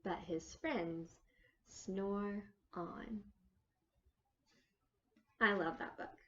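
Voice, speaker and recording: A woman teacher reading a children's storybook aloud, slowly and expressively, for young children. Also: mid-range voice, bright, forceful tone, muffled recording, slight background noise. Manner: slow, dramatic delivery for young children.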